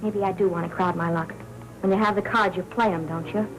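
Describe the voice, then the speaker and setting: An American actress playing a scheming, defiant young wife in a 1940s noir film, with an old soundtrack sound. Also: female voice, mid-range voice, steady tone, fairly quick, music in the background, lightly acted, poor audio. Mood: greedy, excited.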